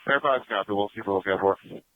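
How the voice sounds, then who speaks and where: A man's voice: lowish voice, quick pace, dry recording. A man in a police air unit, answering calls over the radio. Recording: tinny, narrow-band police radio transmission.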